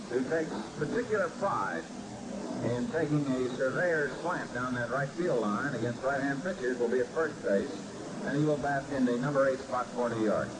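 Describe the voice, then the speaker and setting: An American man calling baseball in formal, measured 1950s radio commentary style, with florid phrasing. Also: mid-range voice. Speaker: baseball radio play-by-play announcer. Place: baseball stadium broadcast. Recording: noisy vintage 1950s radio broadcast. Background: loud noise and ambience.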